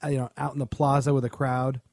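A man's voice: mid-range voice, little pitch movement, fast talker, gravelly, a little mellow, no room sound, studio quality. A man co-hosting a podcast, chatting casually in a sardonic, opinionated way. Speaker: podcast co-host. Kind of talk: casual chat about film and television.

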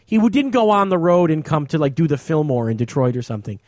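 Male comedian co-host, high-pitched voice in a dry studio sound, casual shop talk. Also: big pitch swings, fast, a little mellow, no room sound.

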